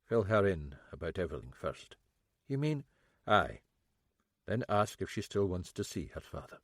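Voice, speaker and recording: A man voicing a stern, calm Scottish detective who speaks low and measured. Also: no echo, clean studio sound, exaggerated voice. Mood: grave.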